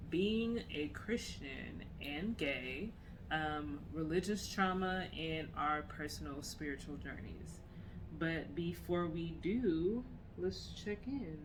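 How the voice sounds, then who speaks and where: A young woman and mother co-hosting a casual podcast with her wife, with dry, unhurried jokes. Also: sits low, background noise. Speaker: podcast co-host. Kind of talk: casual chat.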